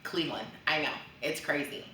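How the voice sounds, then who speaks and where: A woman's voice: sits low, a little fast, sharp tone, a little hiss or hum. A woman hosting a casual interview, upbeat and chatty, with frequent short affirmations. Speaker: host. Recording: echoey room from a distance.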